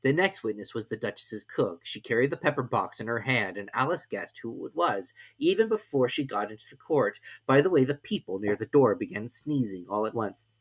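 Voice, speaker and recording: A woman narrating a children's storybook with expressive delivery. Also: a bass voice, a little fast, hardly any pauses, breathy edge.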